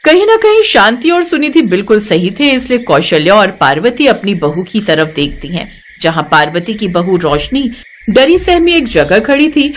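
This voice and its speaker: A woman's voice: fairly high pitch, a little hiss or hum. A woman storyteller giving scripted narration of a moral tale.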